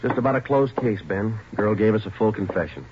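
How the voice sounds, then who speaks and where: An actor playing a firm, businesslike police detective in a 1940s radio drama, questioning in a clipped, matter-of-fact way. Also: male voice, on the low side, animated, a little fast, natural voice. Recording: noisy, muffled 1940s radio recording.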